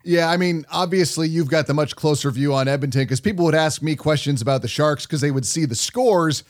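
A man's voice: a little high, brisk. A man hosting a hockey podcast, interviewing guests in a casual, conversational style. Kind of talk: casual sports interview chat.

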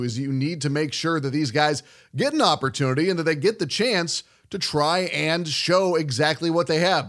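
A man's voice: a little high, fairly bright tone. A man hosting a sports show, giving fluent, conversational commentary in a broadcast style. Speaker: sports podcast host.

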